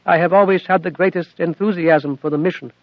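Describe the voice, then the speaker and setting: A man voicing a gentle, dutiful female artificial intelligence aboard a spaceship, speaking earnestly and plainly, in a dull, lo-fi recording. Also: high voice, steady tone, brisk, no room sound, voice pushed up. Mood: calm.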